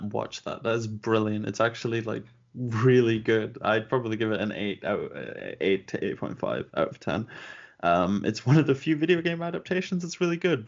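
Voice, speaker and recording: A man with a low, gravelly voice talks through his opinions at an easy pace, chuckling now and then. The recording sounds dull and lo-fi. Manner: measured, laughs often, rates things out of ten. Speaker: podcast co-host. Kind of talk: casual discussion.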